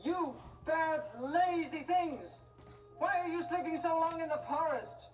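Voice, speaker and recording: A woman's voice: very high voice, a little slow. A woman playing a bossy, wicked fairy-tale stepmother, speaking in a harsh, scornful, commanding way. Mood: scornful, angry. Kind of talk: theatrical fairy-tale storytelling. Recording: distant, echoey voice under loud music.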